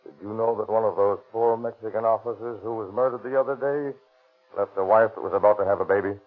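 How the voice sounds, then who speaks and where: Actor as a detective, lowish voice, earnest, coaxing, tinny radio. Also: male voice, fairly even intonation, fast talker, lightly acted. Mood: persuasive. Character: persuasive male police detective. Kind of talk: 1930s radio drama acting. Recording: tinny old radio broadcast.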